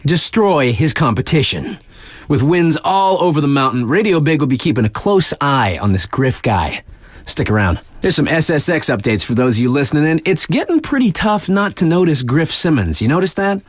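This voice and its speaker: A hip video game radio DJ with a slightly high male voice that swings widely in pitch. He reads sports news in slangy, easygoing hype, stretching key phrases, and the sound is dry and close. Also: no room sound. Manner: slangy, laid-back hype with emphatic drawn-out phrases.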